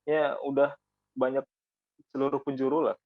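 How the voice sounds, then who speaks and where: A man's voice: mid-range voice, flat delivery, normal speed, crowd noise. Easygoing man on a dull call line. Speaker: podcast guest. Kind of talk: casual chat over a video call. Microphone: video call audio. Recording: dull lo-fi call audio, dry.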